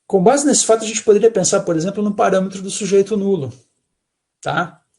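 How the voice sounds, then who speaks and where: Brazilian male lecturer, high voice with a gravelly edge, patient explainer on a video call. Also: brisk, darkish tone. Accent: Brazilian Portuguese. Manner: explanatory, checks in with frequent "tá?". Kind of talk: academic online talk.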